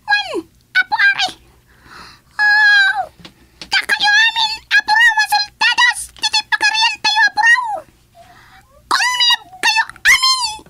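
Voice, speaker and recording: A woman voicing an excitable, high-voiced underling of a fairy kingdom in a comedy radio drama. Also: takes it slowly, pauses often, no echo, full character voice. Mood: frantic, pleading.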